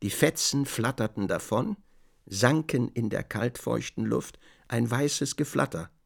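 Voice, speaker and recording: A man narrating a literary radio drama from a script in a measured, deliberate manner. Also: mid-range voice, lively intonation, gravelly.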